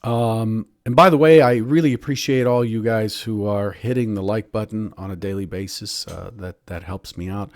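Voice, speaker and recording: A man, a professional trader and show host, giving daily market analysis in a hoarse voice, clearing his throat often. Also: lowish voice, dry recording, clean studio sound. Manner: steady analytical talk with frequent throat clearing.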